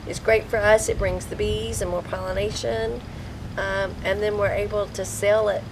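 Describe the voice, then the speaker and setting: An older woman, a vegetable farmer and grandmother, speaking softly and reflectively in a documentary interview. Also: mid-range voice, flat delivery, normal speed, noisy background. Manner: soft-spoken, reflective, with frequent fillers. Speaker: vegetable farmer, former hospice chaplain.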